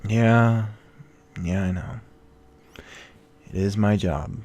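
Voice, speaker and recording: A man's voice: fairly low pitch, drawn-out words, darkish tone. A man playing a weary, self-doubting investigative podcaster in a naturalistic audio drama, talking in a hesitant, conversational way. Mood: anxious, doubtful. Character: male journalist podcaster, weary, anxious, self-doubting boyfriend.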